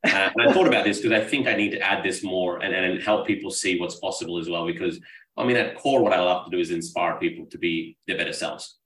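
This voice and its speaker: A man's voice: sits low, fast talker, fairly bright tone, a little hiss or hum. A man from Pakistan, a business coach, telling his life story as a talkative, earnest podcast guest. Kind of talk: casual interview storytelling. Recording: roomy echo, distant mic.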